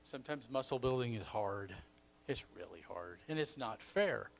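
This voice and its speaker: A man's voice: mid-range voice, lively intonation, normal speed, gravelly, a little hiss or hum. A man leading a church recovery session, affirming people and drawing lessons from what they share. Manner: warm, affirming, reflective. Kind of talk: encouraging workshop talk. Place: church hall.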